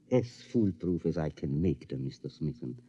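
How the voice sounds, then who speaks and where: An actor playing a sly, formal, discreet craftsman salesman in an old-time radio drama, deliberate and insinuating. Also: a bass voice, animated, dark tone, slightly rough, lo-fi sound, full character voice. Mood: persuasive, assured. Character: sly, formal, discreet maker of duplicate marionettes.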